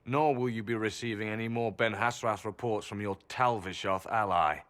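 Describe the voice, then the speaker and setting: An actor playing a stern, formal agent in a fantasy video game, speaking in a clipped, solemn way. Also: male voice, lowish voice, normal speed, a bit bright, natural voice. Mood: cold, official. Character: stern, formal male Qunari agent delivering official word.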